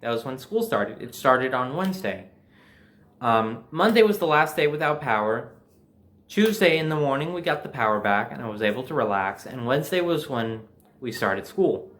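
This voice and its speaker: A man's voice: medium pitch, expressive, normal speed, fairly bright tone. Young American man telling a story casually. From New Jersey, United States. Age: young adult. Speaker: storyteller, host.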